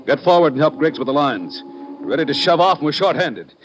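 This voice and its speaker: Actor as gruff, domineering boat skipper. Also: male voice, on the high side, expressive, a little fast, soft background music, natural voice. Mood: commanding. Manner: gruff, commanding, curt. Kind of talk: 1950s radio drama acting. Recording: noisy vintage radio recording.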